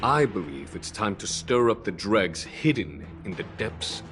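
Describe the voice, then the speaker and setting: A man voice actor playing a shrewd, calm general in a video game, speaking in a measured, unruffled way. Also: lowish voice, over music. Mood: composed. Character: composed, shrewd male general, calm strategist. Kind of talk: scripted video game voice acting.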